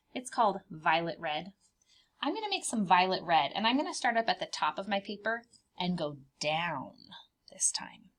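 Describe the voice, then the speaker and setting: A woman's voice: fairly high pitch, fairly bright tone. A woman preschool teacher leading a children's art lesson step by step, speaking in simple, child-directed language with encouraging questions and repeated phrases.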